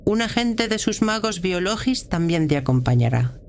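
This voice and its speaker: A woman playing a stern senior prioress of a militant church in an audio drama, speaking in a measured, formal, authoritative way. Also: on the low side, very animated, darkish tone, a little hiss or hum. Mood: cold, composed.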